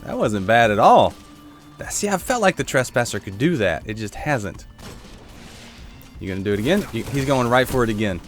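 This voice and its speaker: A man giving casual gameplay commentary while playing a shooter, with a congested throat he keeps clearing, chatting to viewers and reacting aloud. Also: mid-range voice, sing-song, normal speed, long pauses, soft background music. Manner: chatty, reacts aloud to the game, answers chat. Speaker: gaming YouTuber.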